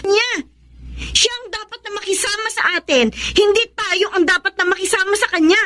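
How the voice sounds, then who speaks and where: Very high-pitched, defiant young Filipina daughter. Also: female voice, a little fast, sharp tone. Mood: indignant. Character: defiant, indignant young daughter, protective of her mother. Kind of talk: Filipino radio drama acting.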